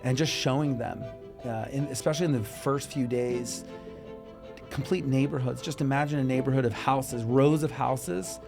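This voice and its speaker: A man from Israel speaks in a mid-pitched voice as an interview guest. His delivery is earnest and halting, full of restarts and small fillers as he gathers his thoughts. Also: steady tone, creaky, over music. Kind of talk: conversational interview.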